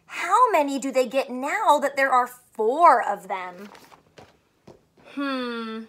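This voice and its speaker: A young woman teacher reading aloud to small children and asking them simple questions in an animated way. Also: sits high, slow pace. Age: young adult. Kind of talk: children's read-aloud with a math lesson. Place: small furnished room indoors.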